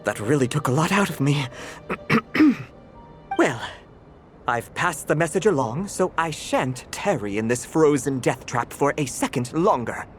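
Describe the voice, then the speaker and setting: An actor plays a prim male cat butler in a video game. The delivery is pompous and formal, with highly animated swoops in pitch. Faint music sits underneath. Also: unhurried, natural voice. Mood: pompous, businesslike.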